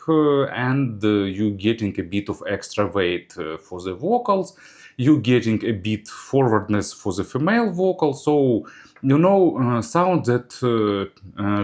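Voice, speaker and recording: Man with a very swooping, hesitant delivery. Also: on the low side. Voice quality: non-native English phrasing. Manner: halting, frequent hesitations mid-sentence. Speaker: audio gear reviewer. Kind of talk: semi-scripted product review commentary.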